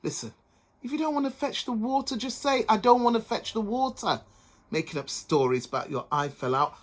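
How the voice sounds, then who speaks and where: A woman voicing a sharp, sarcastic young sister-in-law. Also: a little high, animated, fairly quick, pitched above the performer's own voice. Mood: scornful. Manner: sarcastic, scolding.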